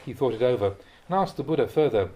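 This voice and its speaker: A man with a medium-pitched voice reads Buddhist teachings aloud. His delivery is measured and expressive, in the manner of an audiobook of a spoken dharma talk. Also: rough recording. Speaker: Buddhist monk reading translated teachings aloud.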